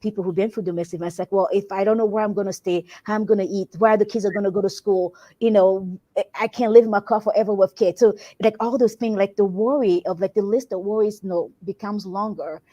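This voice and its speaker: Guest: woman from Congo, warm dark tone, earnest and reflective, restarts phrases. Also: mid-range voice, fairly even intonation, fast, hardly any pauses, dull audio. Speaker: guest, workplace wellbeing consultant. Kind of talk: conversational interview answer.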